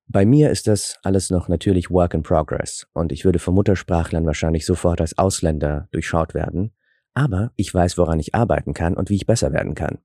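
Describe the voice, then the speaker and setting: A man's voice: deep voice, warm tone, no echo, studio quality. A man who grew up in Germany with Russian as his first language, hosting a reflective, explanatory solo podcast with self-deprecating asides. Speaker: podcast host. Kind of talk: scripted solo podcast talk.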